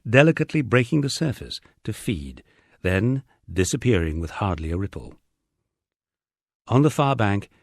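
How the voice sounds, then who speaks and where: A middle-aged man reads a humorous memoir of country life in a fairly low voice. His delivery is measured and expressive, with lively rises and falls in pitch. The recording is dry and close, with very little room sound. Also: no room sound. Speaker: audiobook narrator. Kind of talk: scripted literary narration of a humorous country memoir.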